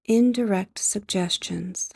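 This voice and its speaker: Female sleep hypnosis guide: mellow, creaky low-energy delivery, soft and breathy, gentle, measured, with long pauses. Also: dry recording. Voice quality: soft, breathy, often near a whisper.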